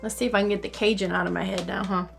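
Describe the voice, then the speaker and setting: A woman telling stories to children in an expressive, theatrical, deliberate way. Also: mid-range voice, creaky. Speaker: storyteller reading to children. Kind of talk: expressive storybook reading in rhyme. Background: music.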